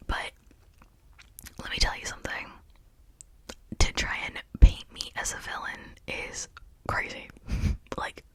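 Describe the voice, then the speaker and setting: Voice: slow, pauses often, fairly bright tone. Someone whispering an asmr ramble, all breath no voice, confiding and chatty. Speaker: ASMR video creator.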